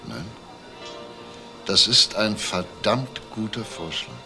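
Crime-drama detective: shrewd, probing man, forceful edge, lively intonation. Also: lowish voice, slow pace, vocal fry, soft background music, heavily acted. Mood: thoughtful. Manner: probing, clipped questions. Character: shrewd, probing male police detective questioning suspects. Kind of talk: German TV crime drama interrogation.

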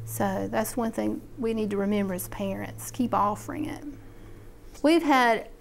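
A woman's voice: mid-range voice, unhurried, creaky, a little hiss or hum. A middle-aged woman, an extension agent explaining a program in a chatty way, with many fillers. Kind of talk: interview about a program.

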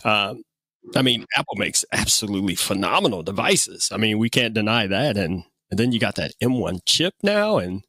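A man's voice: fairly low pitch, sing-song, moderate pace, bright, forceful tone, no echo. Casual, chuckling male podcast host, dry sound. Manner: jokey, chuckles often. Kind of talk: casual interview chat.

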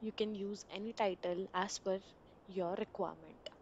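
A woman narrating a screen-recorded software installation walkthrough, giving plain step-by-step instructions over a desktop mic. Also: mid-range voice, steady tone, normal speed, highs cut off, a little hiss or hum.

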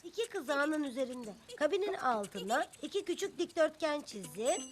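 A woman's voice: sits high, very animated, moderate pace, very noisy. A woman voicing a cheerful, helpful young animal in a children's cartoon dub, explaining things in a friendly, step-by-step way. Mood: patiently explaining.

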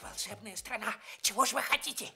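A woman playing a small, perky male talking dog with a creaky voice and pert manner in a children's radio play. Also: fairly high pitch, a little fast, bright, forceful tone, exaggerated voice.

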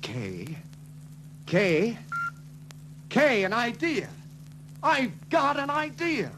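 A man's voice: extremely high pitch, wildly swooping pitch, drawn-out words, heavily acted. An actor playing an emphatic, henpecked young car salesman in 1940s film style. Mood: excited. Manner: emphatic, exasperated. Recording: noisy 1940s film soundtrack.

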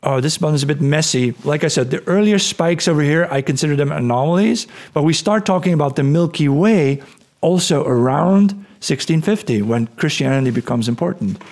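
Middle-aged male podcast host, hoarse, rambling explainer with restarts. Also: fairly high pitch, fairly quick, darkish tone. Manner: thinking aloud, many fillers and restarts. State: hoarse, voice cracking, clearing throat. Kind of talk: casual explanatory talk over screen share. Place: indoor room at home. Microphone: studio mic on boom arm.

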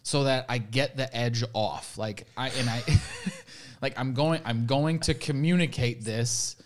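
A man in his thirties explains things reflectively, often restarting his sentences. He co-hosts a casual podcast discussion, speaking into a studio mic on a boom arm. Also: a little slow. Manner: gestures while talking. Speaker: veterinary podcast co-host. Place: home room, indoors. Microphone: studio mic on boom arm, headphones.

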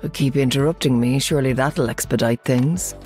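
Game villainess: icy scientist, haughty, drawn-out, disdainful lines over music. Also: female voice, a bass voice, darkish tone. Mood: cold, dry. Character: cold, haughty female geneticist villain.

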